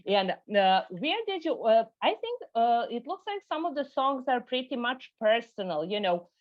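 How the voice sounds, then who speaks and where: A woman's voice: fairly high pitch, big pitch swings, moderate pace. A woman interviewer asking questions in a chatty way, with many fillers and "you know"s. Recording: dull lo-fi sound.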